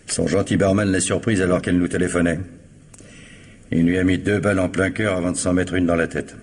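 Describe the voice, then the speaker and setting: An actor playing a world-weary police investigator in a 1950s-style French radio crime drama, explaining in a measured, dryly ironic way. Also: male voice, deep voice, fairly even intonation, a little mellow. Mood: grave, sardonic. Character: world-weary male police investigator, matter-of-fact and sardonic. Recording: noisy old radio drama recording.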